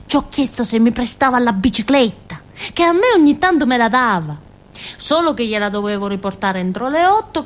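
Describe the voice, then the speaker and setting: An actress performs a simple-minded young woman from a village, speaking with a Calabrian accent. Her pitch is mid-range for a woman but swoops up and down freely. She rambles and confides like a child, punctuating her theatrical monologue with small grunts and tag questions. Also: natural voice. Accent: southern Italian, Calabrian. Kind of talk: theatrical first-person monologue in colloquial dialect-tinged Italian.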